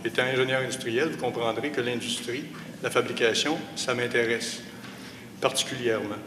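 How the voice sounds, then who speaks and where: A man's voice: medium pitch, fairly even intonation, moderate pace. Middle-aged man in a roomy, noisy hall. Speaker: municipal candidate. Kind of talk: debate opening statement. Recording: roomy hall with clear background noise.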